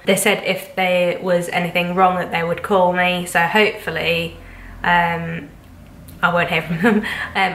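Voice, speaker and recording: Young woman, stuffy nasal cold voice, lowish pitch, chatty vlog, roomy echo. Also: sharp tone, a little hiss or hum. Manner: chatty and rambling, many ums and likes. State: congested with a cold. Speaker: vlogger. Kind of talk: casual chat to camera. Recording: echoey room.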